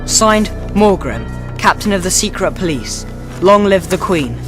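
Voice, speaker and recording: A decisive British schoolboy, the bossy but fair eldest brother in a radio drama, played by an actor. The delivery is very animated and expressive, with music clearly playing behind it. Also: medium pitch, measured pace, lightly acted. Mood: grave.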